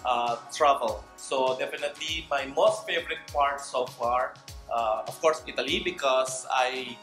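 A man's voice: mid-range voice, a little slow, a bit bright, echoey room, faint music behind. A man in his thirties from Indonesia, chatting playfully to camera as a vlogger. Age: 30s. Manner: chatty, playful, mixes languages. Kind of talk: casual chat to camera. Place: indoor room with wood-panelled wall.

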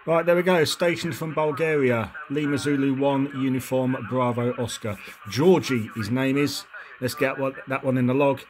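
A man's voice: mid-range voice, steady tone, normal speed, rough recording. A man with a British accent giving radio call-outs and casual commentary in a matter-of-fact way. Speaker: amateur radio operator, video host. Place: indoors at a desk radio set.

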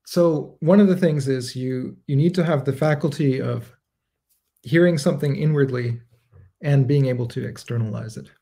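A reflective man in his thirties speaks at his desk in a book-lined home study, picked up by his webcam on a livestream. His voice is dark and mellow, with a gravelly fry at the ends of phrases. He answers viewers' questions in a measured, unscripted way, with fillers and self-corrections as he thinks aloud. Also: mid-range voice. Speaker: composer hosting a livestream Q&A. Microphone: webcam framing at desk, livestream.

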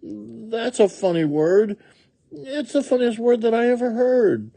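A fussy, absent-minded woodchuck from a storybook, put on by a man. The voice is pitched far above normal and moves about freely, fretting and musing. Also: lively intonation, unhurried, gravelly, mellow, exaggerated voice. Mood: amused, puzzled. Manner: fretful, musing. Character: fussy, moaning, absent-minded male woodchuck lost in arithmetic.

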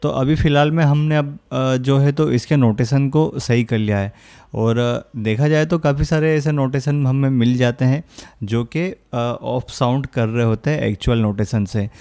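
A young man explaining audio software in a casual tutorial style, with frequent filler phrases, speaking close to a studio microphone. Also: mid-range voice, steady tone, normal speed. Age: young adult. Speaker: music production tutorial host. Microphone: close studio condenser microphone.

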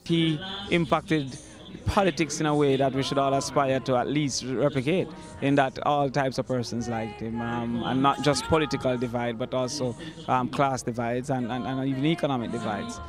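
A man, giving a reflective, deliberate interview into a handheld microphone outdoors. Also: medium pitch, steady tone, over music. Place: outdoors in churchyard. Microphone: handheld reporter microphone.

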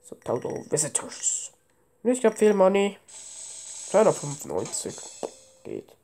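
A woman's voice: medium pitch, wildly swooping pitch, unhurried, mellow, a little hiss or hum. A woman with a cold giving offhand, casual commentary while playing a game at home. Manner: offhand, mixes English game terms. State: has a cold. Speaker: let's play gamer.

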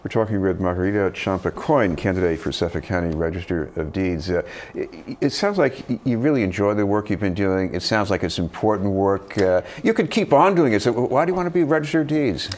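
An older man interviewing a guest in a TV studio, halting and conversational, often repeating words, recorded on a lapel mic. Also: on the low side, darkish tone, slight background noise. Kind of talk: news interview questions. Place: TV news studio.

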